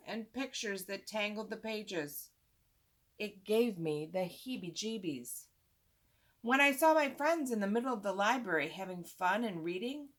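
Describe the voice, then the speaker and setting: Animated woman reading a children's storybook. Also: mid-range voice. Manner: expressive, drawn-out emphasis on repeated words. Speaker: storytime reader, teacher-like host. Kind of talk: animated children's read-aloud with asides to young listeners.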